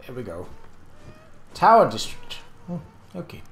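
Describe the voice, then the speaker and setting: A man's voice: medium pitch, huge pitch swings, unhurried, creaky, a bit bright, echoey room. A man casually commenting on his game as he plays, chatty and easily distracted, thinking aloud. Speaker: Let's Play host.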